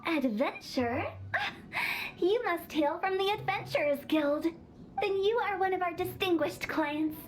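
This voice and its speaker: High-pitched, playful young funeral director. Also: female voice, lively intonation, a little hiss or hum, low-quality recording. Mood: playful, persuasive. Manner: playful, sales-pitch delivery with theatrical drawn-out asides. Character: eccentric young female funeral parlor director, morbidly cheerful pitchwoman. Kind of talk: anime video game voice acting. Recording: music behind the voice.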